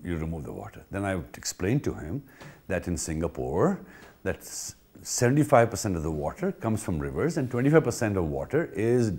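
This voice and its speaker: Animated low-voiced Indian man explaining. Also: fairly quick, breathy edge. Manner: emphatic, repetitive, checks with rhetorical questions and "okay?". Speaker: pool company trainer. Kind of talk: informal instructional talk to trainees.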